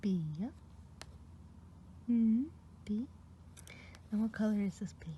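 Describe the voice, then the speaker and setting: A woman's voice: mid-range voice, steady tone, slow pace, dull audio, slight background noise, natural voice. A woman whispering as a kindly good witch. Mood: gentle. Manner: soft whispering, patient and encouraging. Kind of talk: ASMR roleplay.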